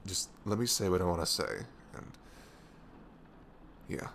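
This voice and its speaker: Man acting a deep, slow-spoken, lovestruck best friend. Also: slight background noise. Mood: nervous, earnest. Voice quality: soft, velvety. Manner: hesitant, halting, earnest. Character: devoted young male best friend, goofy, nervously lovestruck. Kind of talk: ASMR audio roleplay.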